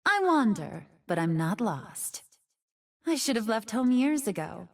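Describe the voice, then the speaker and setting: Slow, seductive wild fox spirit. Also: female voice, fairly high pitch, very animated, distant mic. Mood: wistful, wondering. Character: seductive nine-tailed fox woman, ancient, wild, predatory, wistful. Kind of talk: video game character voice lines.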